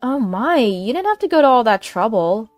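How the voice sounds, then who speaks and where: A woman's voice: on the high side, sing-song, moderate pace, dry recording, faint music behind, voice pushed up. A woman voicing a polite, worried and suspicious grandmother in an audio story, with a concerned, questioning manner. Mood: grateful.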